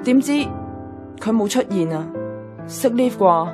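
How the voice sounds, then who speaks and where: A woman's voice: on the high side, animated, takes it slowly. Casting: dramatic, lovelorn young office woman, Hong Kong Cantonese, wry confessional radio-play narrator, music bed. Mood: dejected. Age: young adult.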